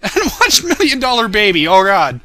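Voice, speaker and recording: A young man with a fairly high, very bright and forceful voice talks through his own video. He rambles casually as a commentator, poking fun at his own work. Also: wildly swooping pitch, moderate pace. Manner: self-deprecating, rambling, chuckles often. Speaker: commentator, creator of an abridged anime series.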